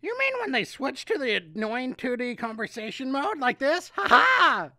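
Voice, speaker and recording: A man voicing a manic villain with a shrill, cackling voice. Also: sing-song, moderate pace, sharp tone, no echo, studio quality, full character voice. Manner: gleeful, cackling.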